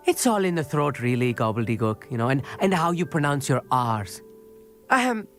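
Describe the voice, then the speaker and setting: A boastful but affable young student in a video game. He rambles on, pleased with himself, in a very animated voice. Faint music runs behind. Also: medium pitch, moderate pace. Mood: chatty, proud. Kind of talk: video game cutscene acting.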